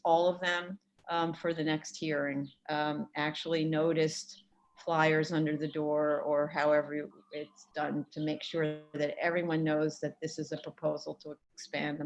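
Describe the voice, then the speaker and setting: A woman, a board commissioner giving exasperated, emphatic remarks at a public hearing over a teleconference call. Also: on the low side. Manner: exasperated, emphatic, filler-heavy.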